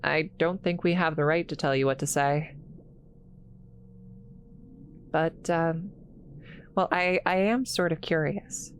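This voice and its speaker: A woman playing an awkward, kind scientist in a roleplay audio drama, halting and apologetic, stammering as she talks to the listener. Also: sits low, steady tone, a little hiss or hum. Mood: hesitant, curious.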